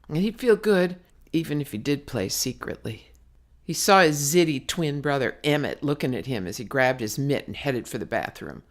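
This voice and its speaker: A woman narrating her own novel as an audiobook, in a dramatic storytelling manner with slangy, clipped phrasing. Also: low-pitched. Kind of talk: scripted narration, audiobook reading.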